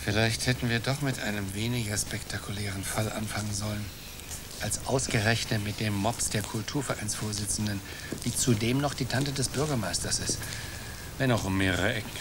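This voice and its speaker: An actor playing an earnest, conspiratorial sidekick in a German radio play, matter-of-fact and deadpan. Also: male voice, on the low side, fairly quick, background noise, lightly acted. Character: dry, conspiratorial man, earnest partner in absurd plots. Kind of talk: German radio drama acting.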